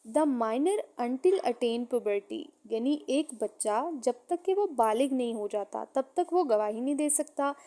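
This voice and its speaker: A woman with a high, soft-edged voice and a Pakistani accent teaches in a didactic lecture style. She reads out each point, then explains it step by step, moving between two languages as she goes. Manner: didactic, switches between Urdu and English. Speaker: law lecturer.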